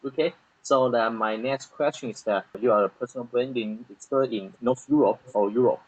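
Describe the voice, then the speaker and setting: A man's voice: medium pitch, normal speed, husky, highs cut off. A man from Taiwan interviewing a guest, giving frequent short affirmations and summarizing her answers. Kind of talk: interview hosting.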